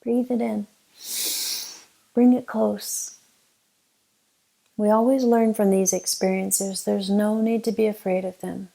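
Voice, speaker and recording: A woman leading a guided meditation as a teacher, giving gentle, unhurried instructions with long pauses. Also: mid-range voice, level tone, gravelly, low-quality recording.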